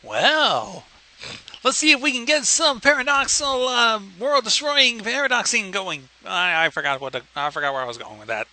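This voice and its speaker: A man's voice: high-pitched, lively intonation, moderate pace, sharp tone, low-quality recording. A man co-hosting a livestream, making blunt, casual, sweary comments on a story. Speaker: livestream co-host.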